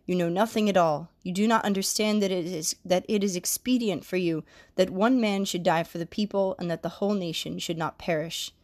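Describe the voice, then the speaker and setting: A woman reading a stern male high priest. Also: fairly even intonation, brisk, few pauses, darkish tone, lightly acted. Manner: plainly read.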